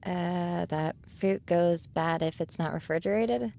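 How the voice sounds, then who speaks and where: A woman's voice: fairly low pitch, a little slow, vocal fry, warm tone. A woman playing an unsure, self-doubting seventeen-year-old girl art student in an audio drama, with a youthful, hesitant manner. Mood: nervous, sheepish.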